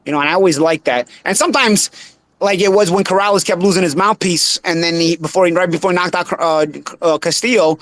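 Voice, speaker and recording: A man with a thick Bensonhurst Brooklyn accent talks boxing in quick bursts. His voice sits a little high, and he keeps restarting and repeating words as he goes. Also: fairly bright tone, dry recording. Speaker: host, former boxer. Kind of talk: casual boxing talk.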